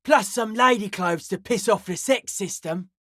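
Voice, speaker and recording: A woman voicing a suspicious, aloof young hardcore raver in a video game, speaking in deadpan, clipped, cryptic pronouncements. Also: medium pitch, moderate pace, no room sound, studio quality, lightly acted. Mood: dry, guarded. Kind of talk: video game character dialogue.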